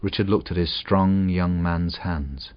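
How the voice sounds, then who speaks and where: A man with a husky voice reads a short story aloud in an expressive, suspenseful way. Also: low voice, unhurried, dry recording. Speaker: audiobook narrator. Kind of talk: dramatic short story reading. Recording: dull, muffled audio.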